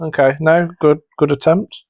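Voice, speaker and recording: A man's voice: on the high side, steady tone, normal speed, creaky, lo-fi sound. A man co-hosting a podcast, reacting and judging in a casual, chatty way. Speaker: podcast co-host.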